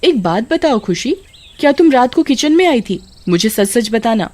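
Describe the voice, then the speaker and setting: A woman's voice: fairly high pitch, big pitch swings, normal speed, a little mellow. A woman voicing a strict, irritable mother who scolds sternly in a horror story. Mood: questioning.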